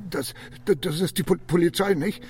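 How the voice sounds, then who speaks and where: Radio play role: male actor as a frightened, agitated male patient, high-pitched, halting and repetitive. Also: background noise, lightly acted. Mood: anxious. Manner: stammering, nervous repetitions. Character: agitated, frightened man recovering from a suicide attempt. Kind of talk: radio drama acting.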